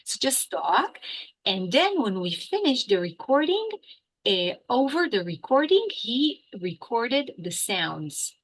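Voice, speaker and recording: A woman from Israel with an Israeli accent, an English teacher chatting as a guest in an interview, animated and full of fillers and restarts. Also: a little high, slow, low-quality recording. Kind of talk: casual interview chat.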